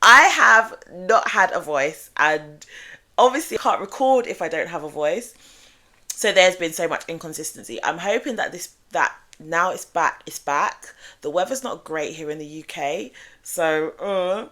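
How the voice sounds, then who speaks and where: A woman hosting a true crime show, talking in a measured, analytical way with small restarts, her voice still slightly groggy after being ill. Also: lowish voice, expressive, bright, forceful tone. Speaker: true crime channel host. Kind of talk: true crime explainer monologue.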